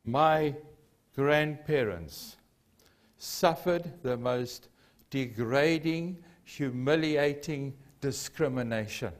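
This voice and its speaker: A man's voice: mid-range voice, very slow. An older man from South Africa, a native Afrikaans speaker with a South African accent, preaching a sermon in a church hall with earnest emphasis and repeated key phrases. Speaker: pastor. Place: church hall with a lectern and a cross.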